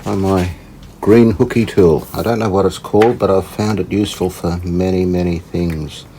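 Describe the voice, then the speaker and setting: A man with a low, soft-edged, dark voice talks through repair work in a casual way. He is unhurried and a little tentative, thinking aloud as he goes. There is faint noise behind him. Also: fairly even intonation, poor audio. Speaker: host of a radio repair channel. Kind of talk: casual hands-on repair commentary.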